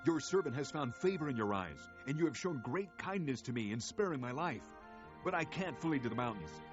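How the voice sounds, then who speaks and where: A man's voice: medium pitch, animated, brisk, highs cut off, over music, close to an everyday voice. A man playing an anxious, fretful refugee beseeching for his life in a Bible audio drama. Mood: fearful pleading.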